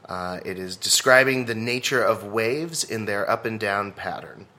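A man from Texas, a comedian and speaker, chatting as a podcast guest with a loud, animated delivery and frequent laughter. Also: lowish voice, a little slow. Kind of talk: casual conversation.

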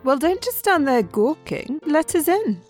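Arch, glamorous woman with lively delivery, acted out. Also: high voice, unhurried, music in the background. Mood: breezy, needling. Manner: theatrical, arch, teasing. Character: vain, competitive, flirtatious glamorous woman friend. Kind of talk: audio drama acting.

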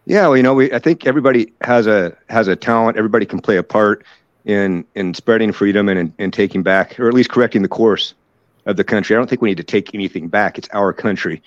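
A man's voice: lowish voice, fast, no room sound. A man hosting an interview podcast, asking loose, conversational questions. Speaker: podcast host. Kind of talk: casual interview chat. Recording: dry, dull lo-fi sound.

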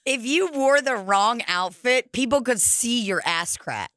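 A woman's voice: fairly high pitch, lively intonation, a little slow, heavy background noise. A woman hosting a podcast in casual chat, rambling and jokey with lots of filler words. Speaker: podcast host.

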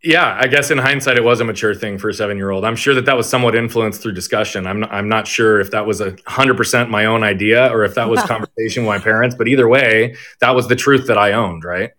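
A man's voice: fairly low pitch, fast, few pauses, sharp tone. A young man guest on a podcast, telling his life story in an articulate, reflective way. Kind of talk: conversational storytelling.

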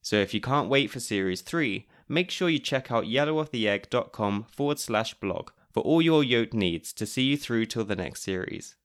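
A man's voice: mid-range voice, lively intonation, moderate pace, few pauses. A man with a British accent, hosting a podcast and narrating its scripted segments in a wry, chatty way. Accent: British English. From Britain. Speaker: podcast host.

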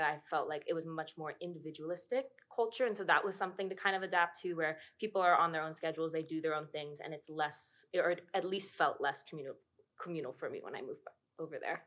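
A young woman from Hong Kong, a musician answering interview questions in a thoughtful, steady way. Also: low voice. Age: young adult. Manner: thoughtful, steady, uses "I would say". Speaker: podcast guest, musician.

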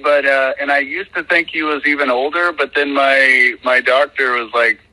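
A man's voice: mid-range voice, steady tone, moderate pace, sharp tone, rough recording. A man calling in to a podcast, joking in a mock-earnest, provocative way during casual chat. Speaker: podcast caller.

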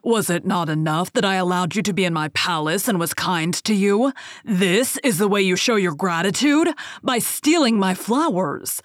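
A woman reading aloud as a furious male beast in a fairy tale, stern and grim. The voice is pitched on the low side and stays commanding. The sound is close and dry. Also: normal speed, dry recording, natural voice.

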